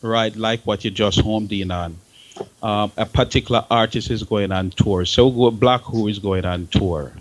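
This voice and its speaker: A man's voice: fairly low pitch, fairly even intonation, moderate pace. A man speaking casually as a panel member in a talk show discussion.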